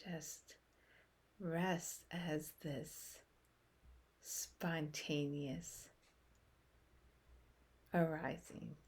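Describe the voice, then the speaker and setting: A woman leading a guided meditation in a soothing, unhurried way, with long pauses between short phrases. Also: deep voice, steady tone, husky.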